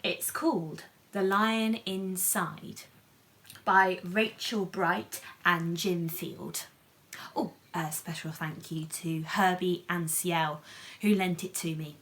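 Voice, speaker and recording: A woman reading a picture book to children in an animated, playful way, with chatty asides and questions to her listeners. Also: on the low side, takes it slowly. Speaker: children's storybook reader.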